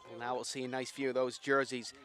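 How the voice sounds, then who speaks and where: A man with a medium-pitched voice works as co-commentator on a live sports broadcast. He fills in background, at times reading from prepared notes. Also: flat delivery, fast, fairly bright tone.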